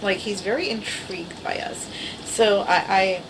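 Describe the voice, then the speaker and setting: A woman's voice: mid-range voice, fairly even intonation, unhurried, gravelly, noisy background. A woman in her forties with a small, girlish voice, chatting casually and wryly to her camera at home. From the Bronx, New York. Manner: rambling, self-deprecating, wry. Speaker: vlogger. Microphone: camera mic.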